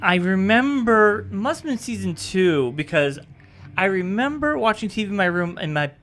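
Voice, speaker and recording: A man's voice: high voice, big pitch swings, normal speed, a bit bright. A man in his thirties hosting a casual podcast, easygoing and a little rambling, often trailing off with "I guess.". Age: 30s. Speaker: podcast host.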